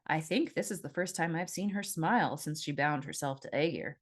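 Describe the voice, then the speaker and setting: A woman's voice: low-pitched, fairly quick. Woman as an easygoing, gossipy male bartender. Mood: hushed, confiding.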